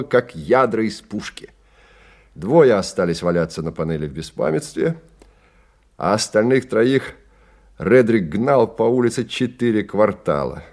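An actor narrating a bleak science-fiction radio play as a grave, measured, dramatic literary storyteller. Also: male voice, fairly low pitch, a little mellow.

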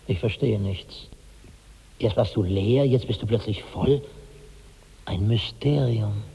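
An actor in a 1960s German radio drama playing a troubled, puzzled companion and witness, husky and creaky, with theatrical searching delivery. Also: male voice, fairly low pitch, takes it slowly, warm tone, full character voice. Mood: bewildered. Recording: dull old radio recording, long reverb.

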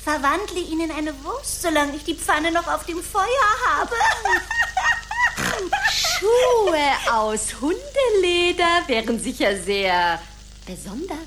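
A woman's voice: high voice, very animated, slow, noisy background, heavily acted. An actress playing a cruel, mocking witch goddess in a radio play, theatrical and gleefully menacing. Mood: cruel glee. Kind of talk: German radio play acting.

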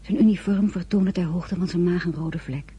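Hushed, mellow young heroine. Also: female voice, lowish voice, normal speed, breathy edge, muffled recording. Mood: somber. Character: earnest, tender young woman recounting her story. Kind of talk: dramatic first-person narration, radio acting.

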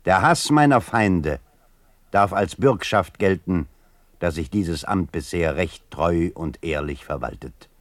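Deep, resolute idealist. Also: male voice, lively intonation, no room sound. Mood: solemn, resolute. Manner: solemn, measured. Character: resolute idealistic male writer proclaiming his life's mission. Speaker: actor in a radio play. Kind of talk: radio play, solemn declamation.

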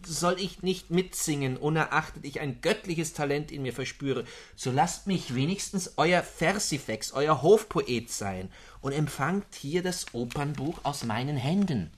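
An actor playing a jocular, boastful poet in a literary radio drama, with a husky voice. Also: male voice, fairly high pitch, lively intonation, a little slow.

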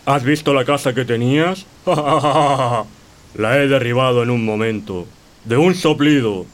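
An actor playing the boastful, menacing big bad wolf in a children's radio play, with theatrical howls. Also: male voice, mid-range voice, unhurried, close to an everyday voice. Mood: gloating, scheming.

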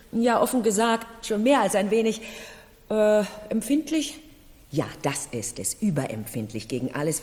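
An actress in a 1970s German radio play, playing a proud, high-strung middle-aged noblewoman with a haughty, confessional manner. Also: a little mellow, natural voice. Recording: reverberant room, vintage radio drama.

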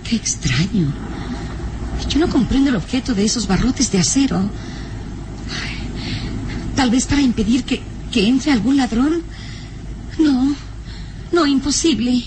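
An actress playing a puzzled, frightened young noblewoman, halting and melodramatic, in an old radio serial. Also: fairly high pitch, animated, background noise. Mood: fearful, halting.

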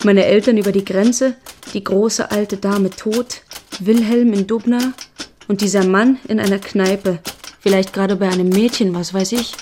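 Casting: actress as lonely woman longing for her lover, level and mellow, literary audio drama, faint noise behind. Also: mid-range voice, steady tone, moderate pace, natural voice. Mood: wistful, aggrieved. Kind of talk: literary reading.

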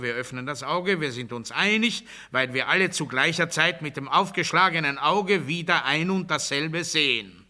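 An actor playing a pompous authoritarian demagogue in a satirical radio play, giving a declamatory, bureaucratic and commanding speech. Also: male voice, a little high, sharp tone. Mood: hushed, drilling. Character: pompous authoritarian male demagogue drilling the masses.

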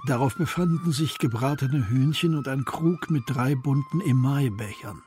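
Male narrator, mid-range pitch, scripted literary storytelling, radio drama, light background music. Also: moderate pace, dark tone. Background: faint music.

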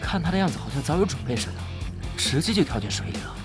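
An alert young sidekick in a radio drama. His voice is fairly high and extremely animated, watchful as he reports, over background music. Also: racing. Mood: suspicious. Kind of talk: Chinese radio drama acting.

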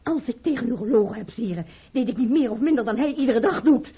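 A proud baroness in an old radio drama. Her voice is fairly high and breathy, swooping expressively with formal intensity, on a muffled, band-limited recording. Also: lively intonation, a little fast. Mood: tense, guarded. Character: proud, guarded baroness at the tsar's court. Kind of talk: theatrical radio play acting.

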